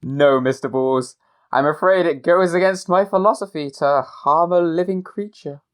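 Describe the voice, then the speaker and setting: A woman's voice: deep voice, normal speed, close to an everyday voice. A woman playing a pompous male writer, polite but flustered and stammering when cornered. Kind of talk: amateur radio play.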